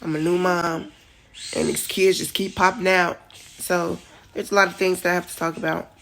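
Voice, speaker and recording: A woman around thirty chatting in a casual vlog, rambling and emotional, tearful at times, in a relaxed style. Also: on the low side, moderate pace, vocal fry, slight background noise. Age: 30s. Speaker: vlogger.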